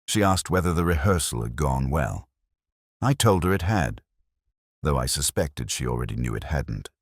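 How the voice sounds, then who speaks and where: A man reading a first-person mystery story as its narrator, in a measured, reflective, unhurried way. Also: a bass voice, expressive, breathy edge, no echo, clean studio sound.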